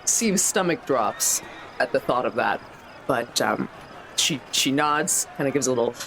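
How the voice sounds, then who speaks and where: A man's voice: medium pitch, animated, measured pace, fairly bright tone, low-quality recording. A man playing a tabletop game, casually narrating a character in a rambling, self-interrupting way. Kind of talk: casual improvised roleplay narration. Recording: faint background noise.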